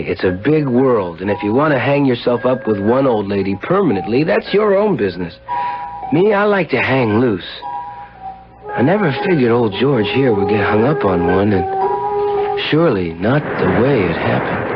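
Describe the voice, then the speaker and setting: An actor playing a breezy young American womanizer in a 1970s radio drama, with flippant, slangy delivery. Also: medium pitch. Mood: breezy narrating. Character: cocky, breezy young womanizing bachelor brother. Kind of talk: 1970s radio drama acting and first-person narration. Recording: dull, hissy 1970s radio recording.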